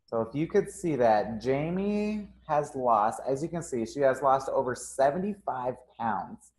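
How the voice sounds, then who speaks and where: A man's voice: medium pitch, expressive, measured pace, a little mellow. A young man who owns a gym, hosting a casual live interview with frequent ums and uhs. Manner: casual, filler-heavy, upbeat. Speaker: gym owner and host.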